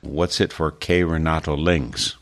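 A man hosting a spirituality radio show, introducing his guest and making station announcements in a measured way. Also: extremely low pitch, fairly even intonation, fairly bright tone. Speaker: radio host.

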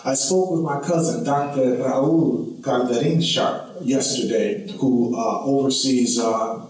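A Cuban-born man giving earnest, measured formal testimony at a hearing. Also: mid-range voice, darkish tone, recorded from a distance. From Cuba. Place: large hearing room. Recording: roomy hall audio from off mic.

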